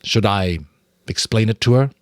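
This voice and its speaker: A man's voice: lowish voice, normal speed, no room sound, studio quality, lightly acted. A man voicing a practical, helpful male rat who explains things matter-of-factly in a storybook reading. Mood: calm, explaining. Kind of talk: dramatic audiobook reading of a children's novel.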